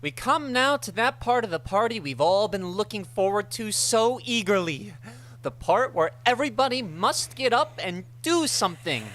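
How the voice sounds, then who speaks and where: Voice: medium pitch, expressive, moderate pace, a bit bright, close to an everyday voice. An actor plays an eager, formal party emcee in an old radio comedy. The voice rises and falls broadly as it announces to the room. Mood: hearty, eager. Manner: announcing to a gathering. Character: hearty party master of ceremonies, eager and formal. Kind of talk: vintage radio comedy acting.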